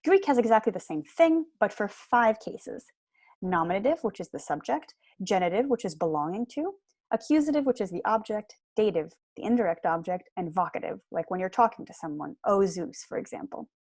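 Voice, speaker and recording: A woman's voice: medium pitch, animated, a little fast, a little mellow, no room sound. A young woman giving a prepared seminar lecture in an explanatory, articulate manner, recorded at home on a computer mic. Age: young adult. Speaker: lecturer, PhD student. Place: small room at home.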